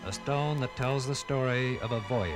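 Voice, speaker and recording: Casting: male narrator for a vintage radio history documentary, dramatic measured phrasing, music backing. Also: mid-range voice.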